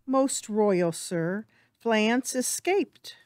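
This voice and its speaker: A woman playing a hired cutthroat in a Shakespearean dramatic reading, with drawn-out, dramatic delivery. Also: medium pitch, lively intonation, darkish tone, no room sound, clean studio sound. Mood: grim, deferential. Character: hired cutthroat reporting to the king. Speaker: amateur actor in a library's dramatic reading.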